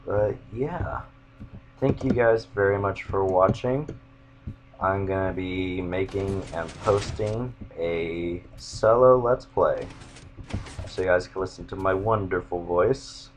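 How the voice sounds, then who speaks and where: A young man with a monotone voice, casually rambling as he walks viewers through his game builds. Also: low-pitched, slow pace, slight background noise. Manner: rambling, self-interrupting. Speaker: gamer showing his build.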